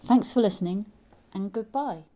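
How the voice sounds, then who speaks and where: A woman's voice: mid-range voice, moderate pace, mellow. A woman hosting an interview podcast, speaking conversationally to guests and listeners.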